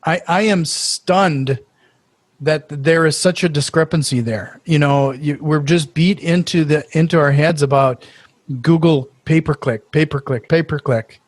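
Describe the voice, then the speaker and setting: A man hosting a podcast in casual chat, talking in quick restarts with filler words and eager reactions. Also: a little high, no echo. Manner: friendly, stumbling restarts and "uh", enthusiastic reactions. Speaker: podcast host.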